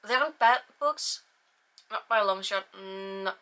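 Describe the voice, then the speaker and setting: A woman's voice: medium pitch, measured pace, sharp tone. A young woman from Iceland with an Icelandic accent, chatting casually and a little self-deprecatingly about books she has read, with frequent restarts. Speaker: book channel host. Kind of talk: casual book review vlog.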